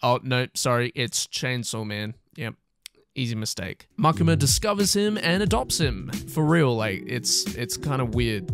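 A young man delivers a scripted video-essay narration in a casual, sardonic tone. His pitch sits in the middle range for a man, and he drops in joking asides. Music plays clearly behind his voice. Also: animated, measured pace.

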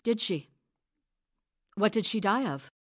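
A woman playing a composed, caring village healer in an audio drama, steady, practical and coaxing. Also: medium pitch, measured pace, no room sound, clean studio sound. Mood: gentle, probing.

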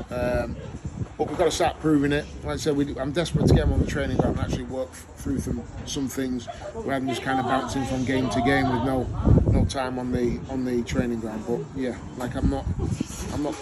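Football manager talking frankly outdoors, loud noise. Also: male voice, mid-range voice, steady tone. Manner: frank, measured, repeats words while thinking. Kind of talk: post-match interview. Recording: loud wind and background noise.

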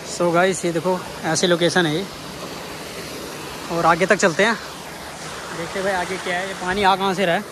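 High-pitched North Indian man vlogging outdoors. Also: level tone, moderate pace, pauses often. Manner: colloquial, exclamatory, addresses viewers often. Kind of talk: casual travel vlog narration to viewers. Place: outdoors, much of it on a moving motorbike. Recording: noisy outdoor action camera, vehicle and street noise.

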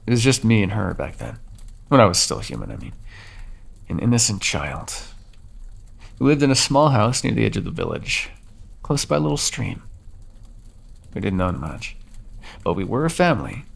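A brooding ancient vampire, voiced by a man, speaks to his captive in a low, gravelly voice. His delivery is soft-spoken and measured, with the hushed intimacy of an ASMR roleplay. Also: big pitch swings, full character voice. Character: brooding ancient male vampire captor, solemn. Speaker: voice actor. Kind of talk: ASMR audio roleplay monologue.

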